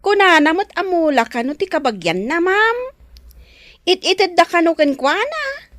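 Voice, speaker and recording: A woman's voice: very high voice, sing-song, unhurried, fairly bright tone, no room sound, clean studio sound, heavily acted. A woman playing an eager, gossipy, respectful young housemaid in a radio drama. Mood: chatty. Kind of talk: Ilocano radio drama acting.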